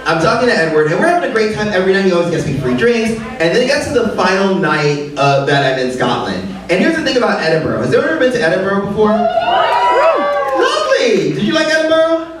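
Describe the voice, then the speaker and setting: A man in his late thirties doing a live stand-up set, animated and exaggerated, swooping into high squeals. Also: quick pace. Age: late 30s. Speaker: stand-up comedian. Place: comedy club stage, live audience. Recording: roomy club sound from a distance, crowd laughter.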